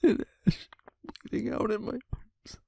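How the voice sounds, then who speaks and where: A man playing a guilt-ridden werewolf pack leader confiding in a partner in an intimate audio drama, with a halting, heavy, emotionally raw delivery. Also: sits high, level tone, gravelly, mellow, slightly rough, no room sound, studio quality, heavily acted. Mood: breaking down, tearful.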